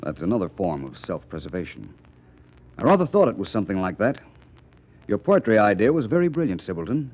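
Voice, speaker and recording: A man's voice: fairly low pitch, very animated, a little fast. An actor playing an unflappable, urbane male private detective with wry, formal wording, in 1940s radio mystery style. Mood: wry, unruffled. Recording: muffled vintage radio recording.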